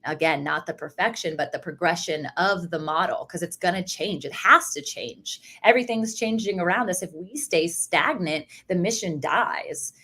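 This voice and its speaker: A woman's voice: on the low side, normal speed, hardly any pauses, pushed and bright, slightly rough. A woman hosting an interview podcast, speaking in an enthusiastic, affirming way. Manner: enthusiastic, affirming, faith-centered. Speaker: podcast host. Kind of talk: conversational interview.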